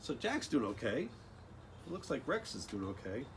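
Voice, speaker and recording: A man reads a storybook aloud for children with very lively, swooping intonation. He often drops into casual asides and speaks directly to his young listeners. He is outdoors, with light background noise behind him. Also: medium pitch, moderate pace. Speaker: children's author reading own picture book aloud.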